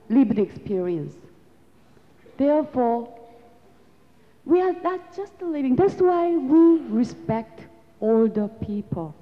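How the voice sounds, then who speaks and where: A Korean-accented woman whose voice sits a little high and moves slowly and deliberately. She lectures in earnest, reflective, non-native English. The sound is dull and carries a long hall reverb. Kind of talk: lecture to an audience.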